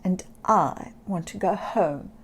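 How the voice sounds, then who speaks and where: A woman voicing a small, lost, homesick male cat in a storybook, speaking softly and almost in a whisper. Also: fairly low pitch, slow pace, slight background noise. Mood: sad. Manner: soft, quiet, near whispering.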